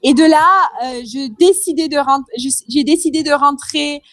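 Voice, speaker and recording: A young woman in her twenties from Madagascar answers an interviewer's questions. She hesitates often, restarting her sentences and slipping in English terms. Her voice is high and the recording is dry and close. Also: fairly even intonation, normal speed, dry recording. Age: 20s. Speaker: interview guest.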